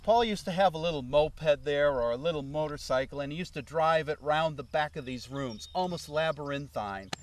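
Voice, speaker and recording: A man with a slightly high voice spins a loose, rambling tale, dropping "you know" asides as he goes. He sounds a little off the mic, as if the recorder sits some way across the room. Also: moderate pace, few pauses, no echo, distant mic. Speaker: former road manager recalling the past. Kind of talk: casual anecdotal storytelling.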